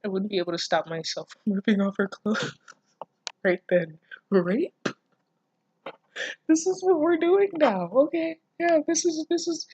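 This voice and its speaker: A woman's voice: fairly high pitch, a little slow, pauses often, darkish tone, husky, no room sound, muffled recording. A woman reading fan fiction aloud and reacting casually, with sarcastic, incredulous, foul-mouthed interjections.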